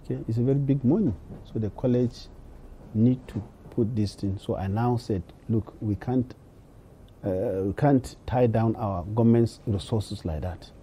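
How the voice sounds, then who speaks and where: Man, Nigerian accent, institution head, low dark voice, emphatic halting interview speech, faint ambience. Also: slight background noise. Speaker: college head. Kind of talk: unscripted interview remarks.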